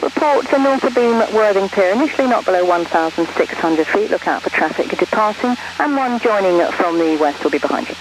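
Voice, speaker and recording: Clear noise surrounds a woman speaking over aviation radio. She is an air traffic controller with a medium-pitched voice, issuing instructions to pilots. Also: lively intonation, fairly quick, few pauses. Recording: noisy aircraft radio transmission.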